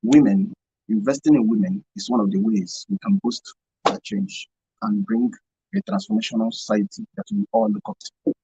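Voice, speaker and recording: A man's voice: high-pitched, big pitch swings, normal speed, creaky, warm tone, slightly rough, no echo, muffled recording. A man with a Nigerian accent giving earnest, emphatic explanations as a guest in a radio studio interview. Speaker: radio show guest, NGO volunteer.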